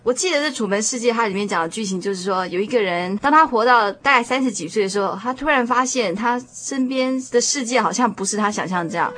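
A woman with a Taiwanese Mandarin accent hosting a radio discussion in a measured, explanatory way. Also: fairly high pitch, fairly bright tone. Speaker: host.

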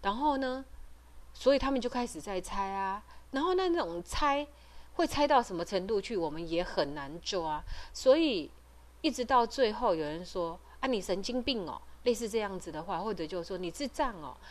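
A woman's voice: mid-range voice, lively intonation. Taiwanese Mandarin woman, hesitant explainer. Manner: explanatory, hesitant, with frequent pauses and trailing particles. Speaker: podcast host and parent advocate. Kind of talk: informal advisory talk.

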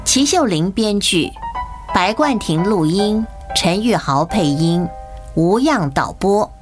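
A woman's voice: mid-range voice, lively intonation, takes it slowly, soft background music. A woman announcing radio drama credits in a scripted style.

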